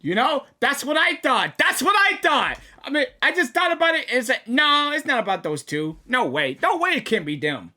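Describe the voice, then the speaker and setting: A woman's voice: high-pitched, sing-song, moderate pace, few pauses, bright, forceful tone. A woman giving casual, slangy, exclamatory live reactions to a video game, often repeating herself.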